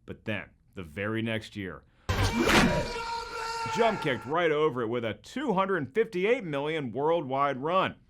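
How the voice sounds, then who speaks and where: A man's voice: mid-range voice, big pitch swings, measured pace, over music. A man with an American accent hosting a scripted comedic video essay in a jokey, emphatic presenter's delivery. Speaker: host.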